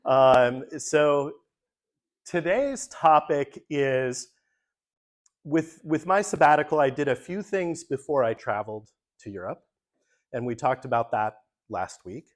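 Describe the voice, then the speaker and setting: A man's voice: mid-range voice, takes it slowly. A man, a pastor, talking casually through a slide presentation at a church forum, with frequent ums and uhs. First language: English. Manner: relaxed, many ums, talks through slides.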